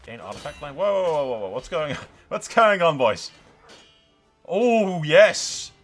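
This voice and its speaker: Animated man doing sarcastic gaming commentary. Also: on the high side, a little slow. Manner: swearing, sarcastic, theatrical. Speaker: gaming video host. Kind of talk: casual let's play commentary with dramatic storytelling. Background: music.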